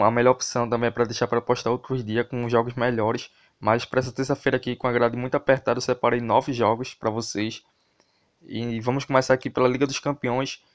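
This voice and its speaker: A man with a Brazilian accent hosting football tips, talking informally and directly to his viewers in a casual commentary style. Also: medium pitch. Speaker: host of a football betting tips channel. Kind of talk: casual sports tips commentary over a screen recording.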